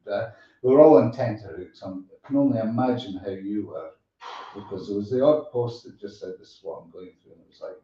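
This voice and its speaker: A man hosting a casual live online chat show, rambling and halting as he thanks and appreciates people. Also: lowish voice. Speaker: show host. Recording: echoey, distant mic, dull sound.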